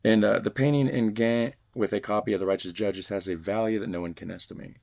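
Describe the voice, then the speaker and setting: A man's voice: fairly low pitch, steady tone, quick pace, creaky, darkish tone. A man vlogging at home, reading a fan letter aloud and joking casually between passages. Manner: reads stiffly, then jokes loosely between passages. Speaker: vlogger unboxing fan mail.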